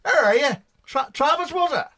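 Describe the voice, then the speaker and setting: An actor playing a proud, gentle elderly father in a radio drama, speaking haltingly and repeating words. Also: very high-pitched, expressive, heavily acted. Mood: tender, proud.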